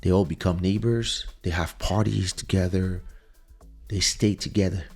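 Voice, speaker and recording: A man's voice: low voice, fairly even intonation, moderate pace, a little mellow, breathy edge, faint music behind. A man from Paris, born in Congo, speaking English with a French accent in an emphatic, reflective, unscripted podcast monologue, repeating phrases for emphasis. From born in Kinshasa, Congo, raised in Paris from age seven. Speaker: podcast host.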